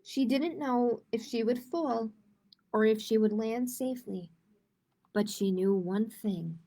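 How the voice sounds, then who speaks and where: A woman's voice: fairly high pitch, takes it slowly, mellow. A woman hosting a library storytime, reading picture books aloud to children and explaining simply and encouragingly.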